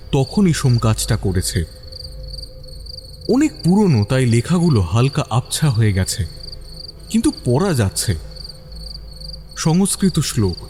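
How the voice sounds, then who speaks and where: A man narrating a scripted mystery story as a dramatic, suspenseful storyteller with a measured delivery. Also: medium pitch, mellow, slight background noise.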